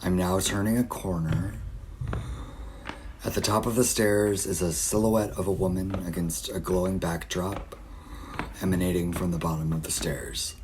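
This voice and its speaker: A man playing a distraught, obsessive middle-aged father in an audio drama, haunted, halting and repeating himself. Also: sits low, steady tone, noisy background, lightly acted. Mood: uneasy, driven. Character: distraught obsessive father, a searcher recording his nightmare. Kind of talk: audio drama monologue and dialogue.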